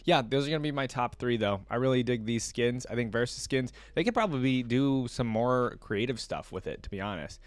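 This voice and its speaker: A man's voice: mid-range voice, expressive, fairly quick, hardly any pauses, no room sound. A man giving casual reaction commentary, thinking aloud in informal, slangy language. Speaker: reaction video creator.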